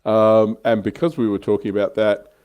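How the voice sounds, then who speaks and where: Very deep-voiced man, thoughtful casual chat. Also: flat delivery, moderate pace, a little mellow, clean tone. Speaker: co-host of a hobby livestream.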